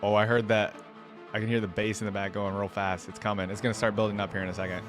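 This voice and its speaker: Lowish-voiced man, casual reaction host. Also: flat delivery, fast talker. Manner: informal, musing aloud. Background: music from the video being watched.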